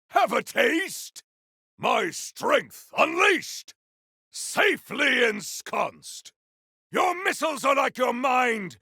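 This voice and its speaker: A male voice actor playing a grandiose, battle-hungry video game warrior, declaiming in a bombastic, archaic style with a rough, gravelly voice. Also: very high voice, sharp tone, dry recording, clean studio sound, heavily acted. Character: grandiose battle-hungry warrior wielding fire, frost and storm. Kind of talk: video game combat barks.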